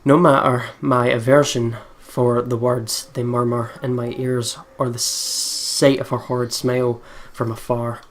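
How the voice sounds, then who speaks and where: A man's voice: medium pitch, level tone, measured pace, hardly any pauses. A man co-hosting a let's play, chatting casually and reading game text aloud. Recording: game music behind the voice. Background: video game music.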